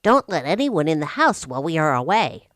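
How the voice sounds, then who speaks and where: Woman as friendly little dwarfs, children's storybook, welcoming, animated intonation, dry close sound. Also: deep voice, brisk, no echo. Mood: kind, welcoming. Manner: warm, friendly. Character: seven kind welcoming dwarfs.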